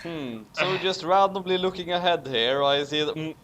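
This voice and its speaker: A man's voice: fairly high pitch, moderate pace, a crowd in the background. A man chatting casually as a co-host, with a dry, self-deprecating manner. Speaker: co-host and video editor. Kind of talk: casual chat.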